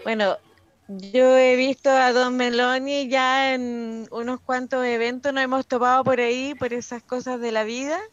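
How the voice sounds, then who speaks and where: A woman comments casually on a performance. Her voice is on the high side and slow in pace, captured dry and a little dull. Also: steady tone, clear, resonant tone. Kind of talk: casual spoken feedback. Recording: dry, slightly muffled recording.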